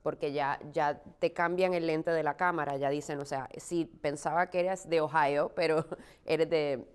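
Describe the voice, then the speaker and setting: A woman raised in Puerto Rico, an actress answering interview questions thoughtfully, often pausing with fillers. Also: low-pitched, steady tone, clean tone. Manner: thoughtful, frequent restarts and "eh" fillers.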